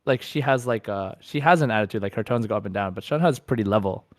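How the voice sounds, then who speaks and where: A man's voice: on the low side, quick pace, no room sound, studio quality. A man hosting a podcast guessing game with casual, playful chat. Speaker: podcast host running a quiz.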